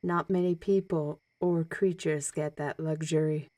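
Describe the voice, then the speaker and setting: A woman playing a towering half-blood moose teacher, measured and gently instructive, in an audio drama. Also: low-pitched, steady tone, no echo, studio quality. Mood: calm, kindly.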